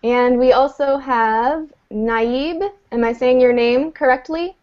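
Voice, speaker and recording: A woman teaching a beginner English class online, speaking patiently and clearly with an encouraging manner. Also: high-pitched, slow pace. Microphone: video call. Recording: dull lo-fi video call audio.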